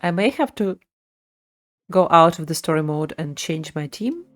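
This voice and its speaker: Low-pitched woman streaming a game. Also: animated, moderate pace, fairly bright tone. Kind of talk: live gameplay commentary with lore read aloud. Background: game music.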